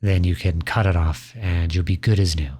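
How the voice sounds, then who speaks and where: A man playing a kindly, teasing outlaw in an intimate ASMR roleplay, gentle and reassuring. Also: low-pitched, steady tone, normal speed. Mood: caring. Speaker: audio roleplay voice actor.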